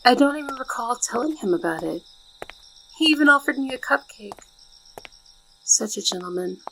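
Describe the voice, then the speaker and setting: A woman playing a shy, devoted young maid in a soft ASMR roleplay, speaking politely and formally with flustered hesitation in a soft, breathy voice. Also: on the high side, expressive, normal speed, slight background noise, low-quality recording. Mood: fond, musing.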